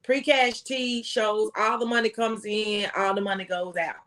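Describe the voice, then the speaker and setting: A woman's voice: medium pitch, steady tone, moderate pace, fairly bright tone. An American woman speaking as a former tax agent, giving folksy, emphatic advice and repeating phrases for stress. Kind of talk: impassioned conversational tax advice.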